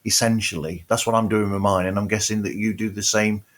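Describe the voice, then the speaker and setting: A man's voice: fairly low pitch, fairly even intonation, brisk. A man hosting a podcast, chatting casually and agreeably, often repeating words as he gathers his thoughts. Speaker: podcast host.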